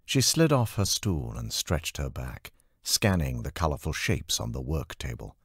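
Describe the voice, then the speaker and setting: A man reading fiction as an audiobook narrator in an expressive, scripted storytelling style. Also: extremely low pitch, a little slow, breathy edge, no echo, studio quality.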